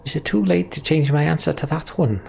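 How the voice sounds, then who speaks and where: A brash fantasy game mercenary, acted out by a man, teasing and jeering. The voice is pushed higher and louder, with some gravel in it. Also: fairly quick, slight background noise.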